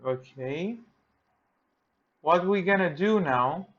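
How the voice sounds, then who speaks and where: A man with a Greek accent, speaking English as a second language, walks through a repair and thinks aloud in halting, simple sentences. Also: high-pitched, animated, a bit bright, dull audio. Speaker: repair technician. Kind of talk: hands-on repair tutorial. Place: workbench.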